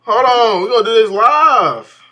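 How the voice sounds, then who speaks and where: A man in his twenties reacting casually as a streamer, with slangy, excitable, exclamatory talk. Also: very high voice, measured pace. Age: 20s. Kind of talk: casual live reaction chat.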